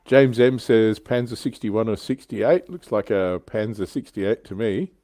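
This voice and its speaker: A man's voice: fairly low pitch, measured pace, warm tone, no room sound. A man hosting a casual, jokey hobby livestream, chatting and reading out viewer comments. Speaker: livestream host.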